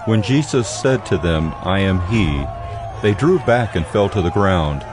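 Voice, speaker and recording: A man's voice: low voice, expressive, unhurried, over music, a crowd in the background. A man narrating scripture from a script in a dramatized production.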